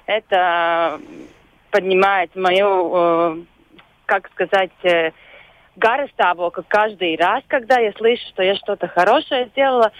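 A woman with a Latvian accent, whose first language is Latvian, speaks as a guest on a radio show by phone. She talks hesitantly and often pauses to search for words. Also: mid-range voice, no room sound. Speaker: volunteer guest. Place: phone call into a radio studio. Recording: dull lo-fi phone line.

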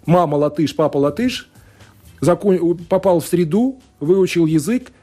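A man's voice: on the high side, expressive, unhurried, dark tone, faint music behind. A man from Latvia, a law scholar and politician, answering radio interview questions thoughtfully, with many fillers and restarts. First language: Russian.

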